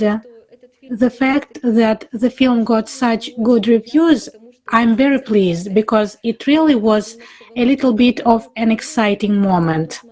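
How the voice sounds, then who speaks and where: A woman speaking informally in a panel talk, in a halting, effusive way. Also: a little high, darkish tone, rough recording.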